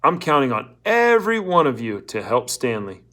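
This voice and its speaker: A man's voice: mid-range voice, sing-song, normal speed, bright, forceful tone. Man putting on a hearty, preachy camp counselor. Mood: upbeat, preachy. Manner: hearty, encouraging, patronizing. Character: cheerful, preachy male camp counselor addressing teenage boys.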